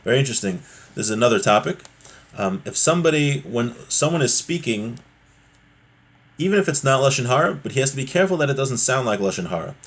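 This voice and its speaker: A man's voice: mid-range voice, very animated, quick pace. A man giving an informal religious lesson as a teacher, explaining a text conversationally and checking in with rhetorical questions.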